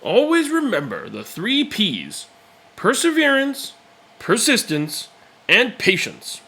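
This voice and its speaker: A man voicing a patient, encouraging older grandfather in a children's storybook, speaking in a measured, instructive way. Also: sits high, huge pitch swings, pushed and bright, voice pushed up, exaggerated voice.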